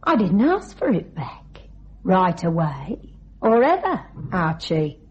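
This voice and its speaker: Cold, scheming young widow, muffled sound. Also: female voice, lowish voice, very animated. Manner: taunting, coolly controlled, deliberate. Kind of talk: radio thriller acting. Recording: dull, band-limited radio drama recording.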